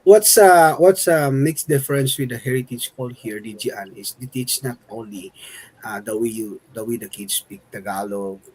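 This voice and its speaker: A man's voice: mid-range voice, moderate pace, a little mellow, slight background noise, rough recording. A man who is a chef co-hosting a radio interview, chatty and jovial, laughing and rambling as he talks.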